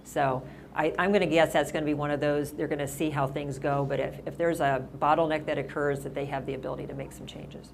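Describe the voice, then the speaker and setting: A woman's voice: sits low, steady tone, fast talker, vocal fry, a little hiss or hum. A middle-aged woman mayor talking casually at a senior center, rambling with lots of restarts and fillers. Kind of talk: casual town-hall talk.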